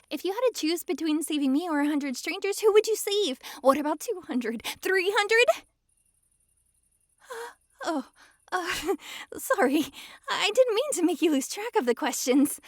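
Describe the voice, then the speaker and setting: A woman's voice: very high-pitched, dry recording, clean studio sound, full character voice. A woman playing a possessive, lovestruck fox-girl mage in an intimate ASMR roleplay, sweetly controlling and giggly. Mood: gushing, excited. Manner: sweetly controlling, giggly, swings between gushing and hushed coaxing. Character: possessive, lovestruck young-sounding fox-girl mage, dominant yet giddy.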